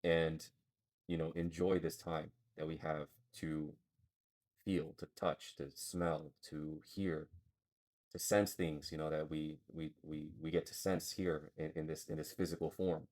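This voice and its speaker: Very deep, flat-voiced man thinking aloud. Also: measured pace. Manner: thinking aloud, rambling and searching for words. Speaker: podcast co-host. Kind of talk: casual philosophical chat.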